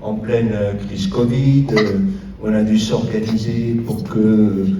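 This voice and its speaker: A man giving an informal public progress report as a local official, speaking haltingly with long hesitant pauses. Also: lowish voice, fairly even intonation, muffled recording. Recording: roomy echo, distant mic, noisy.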